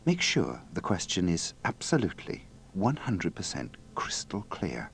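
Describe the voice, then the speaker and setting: A man presenting a compilation of radio mistakes, with wry, deadpan linking remarks. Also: low voice, measured pace. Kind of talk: dry linking commentary.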